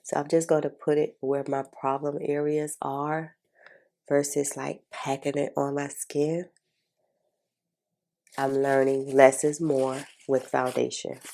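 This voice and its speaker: Congested low-voiced woman vlogger. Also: little pitch movement, slow pace, creaky, dark tone. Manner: chatty, informal, addresses viewers as y'all. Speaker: beauty vlogger. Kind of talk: casual makeup tutorial chat.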